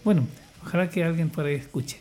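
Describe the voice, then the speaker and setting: A man's voice: a little high, fast talker, a little mellow. An older man speaking in casual radio chat, hesitant and rambling, with many restarts and fillers. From Chile. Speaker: radio guest, church choir leader.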